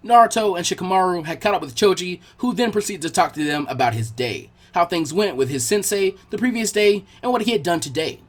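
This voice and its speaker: A man narrating fan fiction from a script, telling the story in an animated way. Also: high voice, fairly quick, few pauses.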